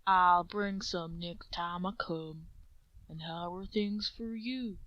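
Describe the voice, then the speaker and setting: A woman voicing a big, easygoing male farm pony in a story, terse and unhurried. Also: fairly low pitch, steady tone, muffled recording. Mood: calm, friendly.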